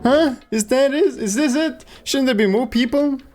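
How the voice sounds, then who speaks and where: A man's voice: very high-pitched, normal speed, darkish tone, faint music behind, voice pushed up. A man with a Swedish accent voicing an anxious young student from a game, reading its lines aloud.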